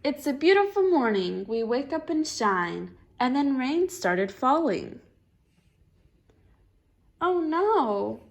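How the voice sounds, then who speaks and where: High-voiced woman reading to a child. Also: big pitch swings, slow pace, creaky, pauses often. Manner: encouraging, echoes the child's answers as questions. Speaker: adult reading a picture book aloud to a child. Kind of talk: dialogic storybook reading with questions.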